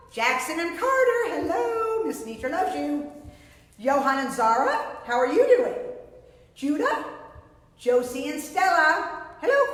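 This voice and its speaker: A middle-aged woman speaking theatrically to children as a story-time host, reading and chatting with lots of exclamation. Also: high voice. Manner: theatrical, exclaiming, speaks of self in third person. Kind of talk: animated picture-book reading and chat to children. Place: indoor room with painted stage backdrop. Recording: very echoey room with long reverb.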